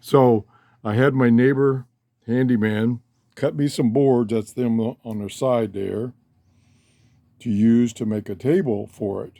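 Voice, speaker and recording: A man's voice: medium pitch, steady tone, takes it slowly, mellow, no echo. An older man talking casually in a folksy, rambling way, often interrupting himself as he hosts a hobby vlog. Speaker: host of a shortwave radio hobby channel. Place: cluttered room downstairs at home. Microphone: handheld cell phone.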